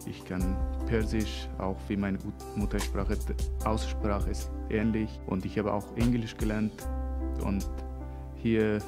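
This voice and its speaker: A young man from Afghanistan whose first language is Dari, speaking German slowly and haltingly with an Afghan accent in a small radio studio. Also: fairly low pitch, little pitch movement, over music. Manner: slow, halting speech with broken grammar. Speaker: interviewee. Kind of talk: interview answers. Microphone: studio mic on a boom arm.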